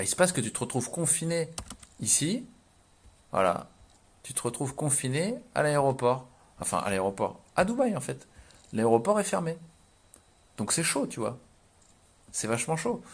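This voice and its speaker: Man, 30s, average-pitched voice, for an informal vlog commentary. Slangy, conversational, halting as he thinks aloud. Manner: hesitant, repetitive, thinking aloud. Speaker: vlogger. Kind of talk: casual explanatory commentary.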